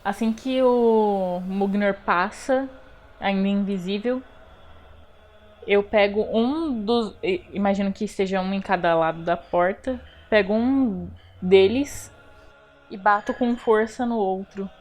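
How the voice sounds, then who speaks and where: A woman's voice: mid-range voice, takes it slowly, darkish tone. A woman with a Brazilian accent casually describing her character's actions as a tabletop game player.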